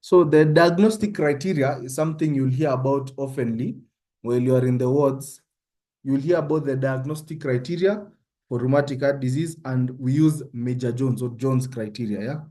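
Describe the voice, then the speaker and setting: Young Kenyan male tutor, Kenyan accent, mellow voice, casual teaching that keeps checking in with listeners. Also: mid-range voice, moderate pace. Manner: conversational, often checks in with "yeah?". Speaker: medical student tutor. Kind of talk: informal online tutorial.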